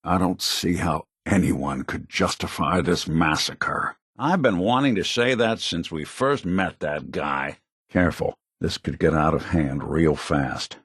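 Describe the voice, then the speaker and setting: A male actor voicing a world-weary, principled android private detective in a video game, with a gravelly, wry, plainspoken noir delivery. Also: sits low, no echo, clean studio sound. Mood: grave, reproachful. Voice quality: gravelly, husky.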